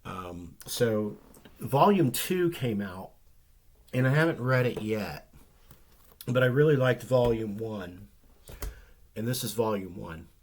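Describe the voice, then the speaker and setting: Low-voiced man with a slightly nasal rasp. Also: slow pace, pauses often. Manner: relaxed and rambling, many ums and restarts. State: recovering from flu, slightly rough nasal voice. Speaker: comic book vlogger. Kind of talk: casual chat.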